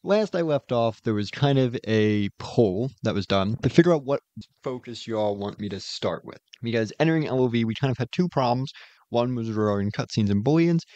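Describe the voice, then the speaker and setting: A man's voice: on the low side, darkish tone, dry recording. A man giving an informal voice-over progress update as a game mod developer, recorded close on a slightly dull microphone.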